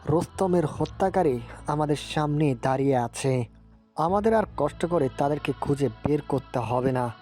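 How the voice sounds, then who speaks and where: A man voicing a gruff, commanding vampire lord. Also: on the high side, moderate pace, mellow, natural voice. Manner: barking gruff orders. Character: commanding vampire lord, male, adult, vengeful. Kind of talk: Bengali audio story drama. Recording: music bed behind.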